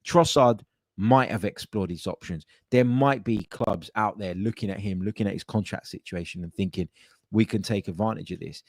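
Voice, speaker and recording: Man, podcast host, lowish voice, dry close sound, chatty with fillers. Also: moderate pace, no room sound. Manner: conversational, chatty, with frequent fillers and restarts. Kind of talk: casual call-in podcast chat. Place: home office.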